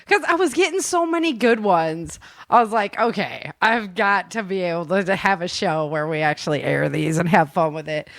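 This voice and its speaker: A woman's voice: lowish voice, normal speed, creaky, a bit bright, no echo, clean studio sound. A woman hosting a casual chat in a talkative, giggly way, often acting out little quotes. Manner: chatty, laughs often, acts out little quotes. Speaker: audio drama producer and show host.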